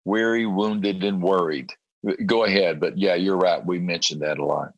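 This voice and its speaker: A man, a pastor, with a raspy voice after a late night, talking in halting conversation, often repeating words and clearing his throat. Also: sits low, no echo, highs cut off. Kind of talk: conversational interview about a prayer group.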